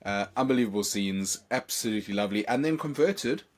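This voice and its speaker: Hoarse young South African male podcast host, excitable and rambling. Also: lowish voice, brisk. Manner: excitable, rambling, many fillers. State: hoarse from a chest infection. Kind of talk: casual podcast chat.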